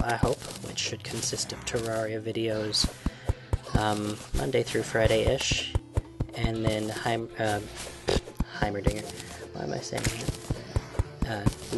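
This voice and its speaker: A man, sick and croaky, casually giving a channel update as a gaming host. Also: on the low side. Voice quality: creaky. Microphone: desktop microphone.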